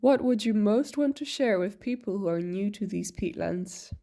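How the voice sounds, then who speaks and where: A woman hosts a podcast and reads scripted interview questions in a plain, clear way. Her voice is mellow and sits at an ordinary pitch. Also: lively intonation, normal speed.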